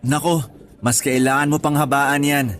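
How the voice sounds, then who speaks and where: A man's voice: fairly high pitch, level tone, moderate pace, a bit bright, lightly acted. A man acting as a friendly young schoolteacher in a radio drama, speaking in an easygoing, reassuring, lightly teasing way.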